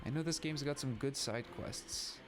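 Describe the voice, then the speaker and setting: A man's voice: medium pitch, moderate pace. A man from Canada with a slightly New York-like accent, rambling chattily as he plays a game on stream through a turned-down laptop mic. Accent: somewhat New York-like. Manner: rambling, self-aware, chatty with filler restarts. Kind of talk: casual let's-play commentary. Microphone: laptop mic turned down low. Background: game music.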